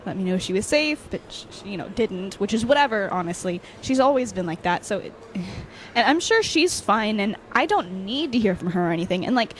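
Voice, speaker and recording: A woman's voice: medium pitch, expressive, natural voice. Woman acting breezy young college woman, noisy recording. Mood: defensive, flustered. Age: young adult.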